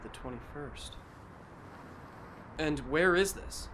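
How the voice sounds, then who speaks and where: A man's voice: a little high, animated, takes it slowly, noisy background. Reverberant man acting a subdued teenage schoolboy. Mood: groggy, puzzled. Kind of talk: anime fan-dub acting. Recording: echoing hall-like reverb.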